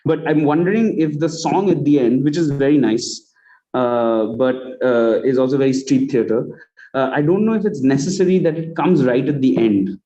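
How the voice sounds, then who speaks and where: A man with an Indian accent offers critique in a conversational way. His voice is soft-edged and dark, sitting at an ordinary male pitch. The sound is dull and lo-fi, as on an online call. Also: moderate pace. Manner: conversational, acts out example lines. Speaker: judge giving feedback on student audio plays. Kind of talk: spoken critique on an online event.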